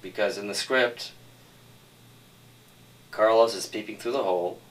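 Low-voiced, insistent man arguing his point. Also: unhurried. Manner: insistent, repeats himself. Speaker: screenwriter defending his script. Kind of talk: argumentative group discussion. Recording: distant mic with some noise.

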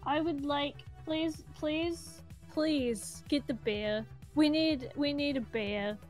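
A woman's voice: high-pitched, slow pace, soft background music. A woman co-commentating on a game stream in casual, teasing banter.

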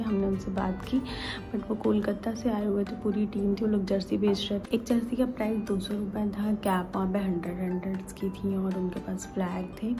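A young woman talks to her camera in a small room at home, chatty and explaining things step by step. Her voice sits at an ordinary middle pitch. Music plays clearly underneath her. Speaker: vlogger. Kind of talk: casual explanatory vlog. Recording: music playing behind voice.

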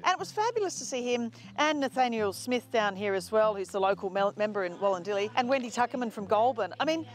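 A woman's voice: fairly high pitch, animated, quick pace, hardly any pauses. Upbeat woman presenter. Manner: enthusiastic, upbeat questions. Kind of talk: interviewing.

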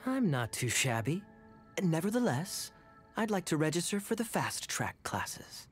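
A man playing a suave young rogue in an anime game, smooth-talking, sly and teasing. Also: a little high, sing-song, a little slow. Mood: sly, smug. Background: music.

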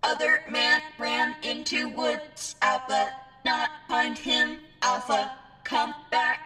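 High, monotone, slow-talking robot. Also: female voice, music in the background. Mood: flat, menacing. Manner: stilted broken speech, speaks of itself by name. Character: childlike mechanical robot servant, blunt and dangerous. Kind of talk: old-time radio acting.

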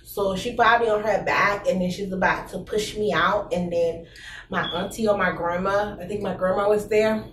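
A young woman from Haiti with a Haitian accent, telling stories casually to camera in a small room, animated and laughing, full of rhetorical questions. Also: mid-range voice, moderate pace, noisy background. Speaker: vlogger. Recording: roomy echo, slightly distant mic.

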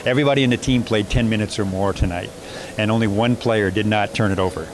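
A man coaching basketball, answering postgame interview questions in a measured way with many fillers and restarts. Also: lowish voice, steady tone. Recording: noisy background.

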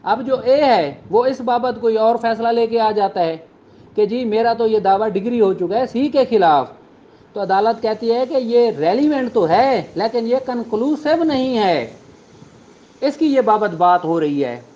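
A man with a Pakistani accent speaks in a high voice for a male teacher. He explains in an informal lecturing style and drops English terms into his sentences. The recording is somewhat dull and lo-fi. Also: expressive, unhurried, warm tone. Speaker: law teacher.

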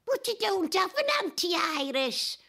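An older woman playing a flamboyant, boozy, eccentric time-travelling adventuress in a dramatic audio monologue, confiding in a drawl with a husky, breathy voice. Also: very high-pitched, very animated, a little slow, bright, forceful tone, heavily acted. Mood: mischievous, inviting.

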